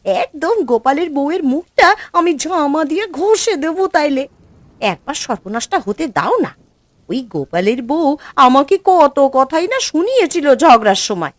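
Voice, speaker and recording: A woman's voice: high voice, sing-song, moderate pace, no room sound. A woman voicing a spiteful village wife who gleefully schemes and relishes her neighbor's ruin in a cartoon. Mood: gloating. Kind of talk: cartoon voice acting.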